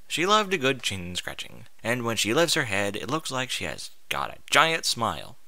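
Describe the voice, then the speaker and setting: A man reading a first-person story aloud in an expressive, informal way, as if acting out the narrator's thoughts. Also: medium pitch, normal speed, no room sound. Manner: expressive, informal, sweary interjections. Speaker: fan fiction reader.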